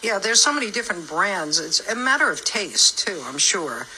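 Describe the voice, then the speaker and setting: A woman radio co-host with a bright, forward voice talks in a chatty, joking way. It is casual live interview chat, with faint noise in the recording. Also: medium pitch, sing-song, normal speed, poor audio. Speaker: morning radio show co-host.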